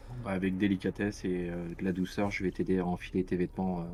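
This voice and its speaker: A man playing a tabletop game, hesitantly and softly describing what his character does. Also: deep voice, little pitch movement, fast talker, slightly rough. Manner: hesitant, soft-spoken. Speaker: player.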